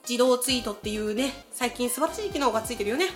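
A woman's voice: on the high side, fast talker, faint music behind. A woman chatting casually as a guest on a radio talk show, with a giggly, self-deprecating manner. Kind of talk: casual banter.

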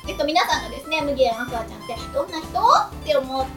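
An excitable, giggly woman with a youthful, girlish voice, hosting a radio talk show with high energy. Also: rapid-fire. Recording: roomy, distant mic, music behind.

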